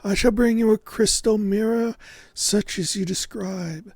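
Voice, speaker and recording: A man voicing a proud, doting merchant father in a fairy tale, with rough, grand and archaic speech. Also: sits high, a little slow, darkish tone, dry recording, voice pushed up. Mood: grandly assured. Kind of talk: storybook character voice.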